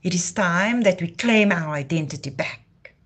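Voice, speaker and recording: A woman's voice: on the low side, lively intonation, normal speed, breathy edge. A woman from Namibia, hosting a live talk in a passionate, declamatory way. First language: Afrikaans. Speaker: livestream host. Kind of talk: impassioned heritage talk.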